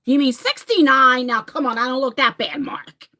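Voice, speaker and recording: Chatty bright-voiced woman in her forties. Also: high-pitched, moderate pace. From New Jersey, USA. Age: 40s. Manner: chatty, laughs often, talks straight to viewers by name. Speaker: livestream host. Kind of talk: casual live-stream chat reading viewer comments.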